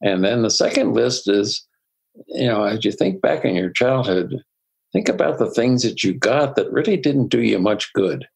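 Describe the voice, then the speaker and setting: An older man, a psychologist guest, telling personal stories in a reflective, unhurried way with soft laughs. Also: on the low side, expressive, gravelly, breathy edge, rough recording. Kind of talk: conversational interview storytelling.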